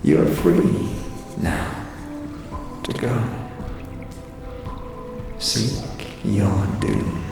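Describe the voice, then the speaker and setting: A man voicing an ancient undead presence, solemn and menacing, making halting, formal pronouncements in a fantasy audio drama. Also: sits low, wildly swooping pitch, creaky, over music, heavily acted. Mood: grave, commanding. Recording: cavernous echo over noisy ambience.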